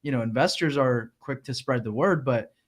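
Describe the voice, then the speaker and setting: A man's voice: medium pitch, normal speed. Podcast host guy, laid back and chatty, medium voice, says like a lot. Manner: relaxed, chatty, lots of "like" and "right?". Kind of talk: casual podcast conversation.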